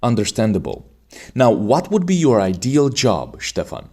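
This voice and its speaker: A man hosts an English exam-prep podcast and acts as examiner. His delivery is formal and teacherly, and his pitch moves a lot. His voice sits at a middle pitch for a man. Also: huge pitch swings, measured pace, dark tone. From Romania.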